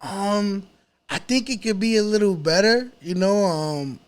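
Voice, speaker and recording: A man's voice: high voice, measured pace, clean tone. A man with a New York accent speaking informally and with slang as a guest in a casual interview. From New York City. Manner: informal, slangy, repeats himself. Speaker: rapper, podcast guest.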